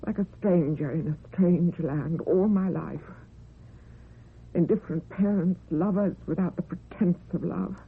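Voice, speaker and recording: A woman's voice: fairly low pitch, vocal fry, breathy edge, exaggerated voice. An actress playing a lonely, frightened, ageing woman in a theatrical, tremulous old-time radio horror style. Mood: despairing. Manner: theatrical, tremulous, talking to herself. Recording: dull vintage radio recording, faint hiss.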